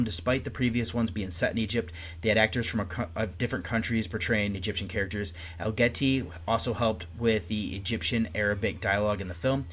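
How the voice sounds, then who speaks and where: A man hosting a film review podcast, talking casually and sometimes restarting a word. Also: fairly low pitch. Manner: conversational, occasional restarts while reading notes. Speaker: podcast host.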